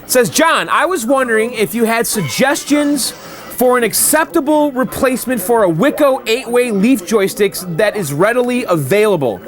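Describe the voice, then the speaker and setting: A man's voice: very high-pitched, animated, normal speed, soft background music. A man hosting a casual show, answering viewer mail in a chatty way and often checking in with "okay?". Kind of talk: casual chat.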